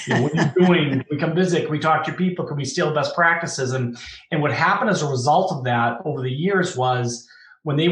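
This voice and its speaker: A middle-aged man with a clear Boston accent tells stories animatedly, often breaking into a chuckle. His voice sits at an ordinary pitch. It carries a noticeable room echo. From Boston, USA. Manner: animated, chuckles through anecdotes. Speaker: podcast guest. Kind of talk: casual interview storytelling. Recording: roomy echo.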